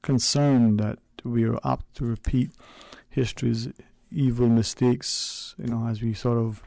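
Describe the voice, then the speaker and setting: A man hosting a radio talk show in a studio, speaking in a hesitant, unhurried way with many fillers. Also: medium pitch, steady tone, vocal fry, darkish tone, no echo.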